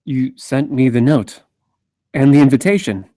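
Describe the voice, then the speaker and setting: A man's voice: mid-range voice, moderate pace, mellow, dry recording, clean studio sound, lightly acted. A man at a script read playing an earnest, lovestruck man who speaks in terse, insistent lines. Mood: urgent. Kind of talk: screenplay table read acting.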